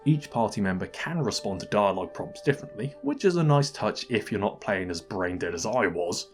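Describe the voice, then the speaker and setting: Guy doing a wry scripted game review, low voice, dry humour, music going underneath. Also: expressive, brisk. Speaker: video game reviewer, channel host. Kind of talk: scripted comedic review narration.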